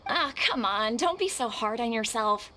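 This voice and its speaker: A woman's voice: on the high side, animated, unhurried, sharp tone. A woman voicing a supportive, admiring young classmate in an anime video game, earnest and encouraging.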